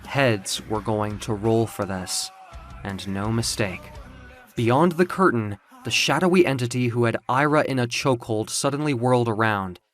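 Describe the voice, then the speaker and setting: A man's voice: medium pitch, normal speed, fairly bright tone. Male dramatic storyteller over music. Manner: theatrical, suspenseful. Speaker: narrator. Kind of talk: dramatic scripted story narration.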